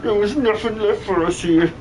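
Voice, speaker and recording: Guy doing an excitable high pitched miner for a game dub, chatty and exclamatory, bit of noise behind. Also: normal speed, close to an everyday voice, rough recording. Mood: solemn, conflicted. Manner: colloquial, exclamatory. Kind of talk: improvised video game fan dub.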